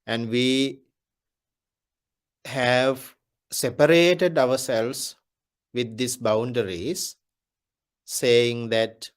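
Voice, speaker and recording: A Buddhist monk giving a Dhamma talk, speaking deliberately and repeating his key phrases. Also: medium pitch, smooth.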